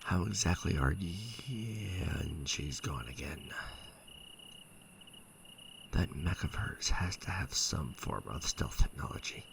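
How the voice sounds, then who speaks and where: Young male mech pilot captive , played by an actor: very deep, thick vocal fry, proud and grudging, hushed ASMR delivery. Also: measured pace, background noise. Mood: grumbling. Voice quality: gravelly, hushed. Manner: earnest, grudging. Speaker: voice actor in an audio roleplay. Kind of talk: ASMR sci-fi roleplay acting.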